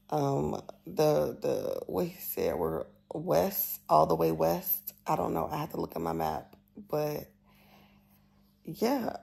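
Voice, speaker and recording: A woman's voice: low voice, vocal fry, dark tone, breathy edge. A woman in her twenties chatting casually to viewers as a travel vlogger, filming herself on a phone in a villa. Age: 20s. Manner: chatty, addresses viewers as y'all. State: just woken, raspy voice. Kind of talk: casual vlog walkthrough. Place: resort villa bedroom, indoors. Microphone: phone held at arm's length.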